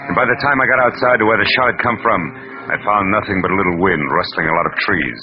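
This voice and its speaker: A muffled old radio recording with music carries a low-pitched American detective, played by a male actor. He is hardboiled and cynical, delivering noir lines with terse, wry toughness. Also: quick pace, lightly acted. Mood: tense narration. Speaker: narrator and lead actor. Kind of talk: 1940s-50s radio noir narration and acting.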